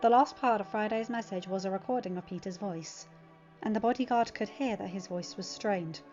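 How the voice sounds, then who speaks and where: A woman's voice: mid-range voice, normal speed, a little mellow. Woman reading a story, dull lo-fi sound. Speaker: fan fiction reader. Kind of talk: scripted story narration, audiobook reading. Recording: dull lo-fi recording, music underneath. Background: background music.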